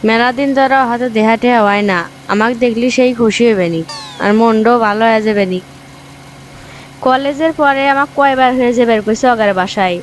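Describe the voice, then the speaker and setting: A woman's voice: fairly high pitch, a little hiss or hum, close to an everyday voice, low-quality recording. A woman voicing a hurt, reproachful young businesswoman in a comic cartoon.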